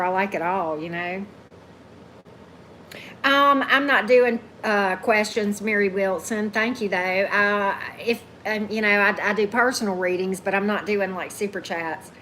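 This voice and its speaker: Deep-voiced woman chatting on a livestream. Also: fairly bright tone, slight background noise. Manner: chatty, keeps asking "right?", greets commenters by name. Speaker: tarot reader hosting a livestream. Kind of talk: casual livestream chat with viewers.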